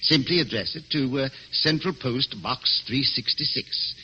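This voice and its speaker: An actor playing an eager, evasive gentleman client in a 1940s radio drama, formal and hesitant in manner. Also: medium pitch, a little slow. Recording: dull, noisy vintage radio recording.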